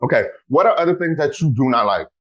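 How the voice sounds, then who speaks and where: A man hosting a casual podcast, talking in an animated way, exclaiming loudly and speaking straight to his listeners. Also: a little high, fast talker. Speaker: podcast host.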